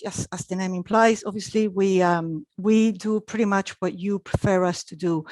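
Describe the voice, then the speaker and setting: A woman's voice: lowish voice, no room sound. A middle-aged woman from Chile, presenting a live online travel talk in a chatty way, with frequent ums and restarts. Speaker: travel expert presenting a webinar.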